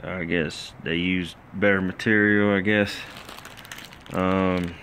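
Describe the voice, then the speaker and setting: Slow, deep-voiced man explaining his workbench. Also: flat delivery, fairly bright tone, slight background noise. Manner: plainspoken, rambling with many fillers. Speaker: home mechanic filming a rebuild. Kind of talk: casual how-to commentary. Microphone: handheld phone camera.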